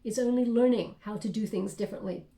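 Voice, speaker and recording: A woman's voice: a little high, quick pace, mellow, roomy sound. A woman giving a tarot reading in an encouraging, reassuring way, with frequent fillers. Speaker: tarot reader.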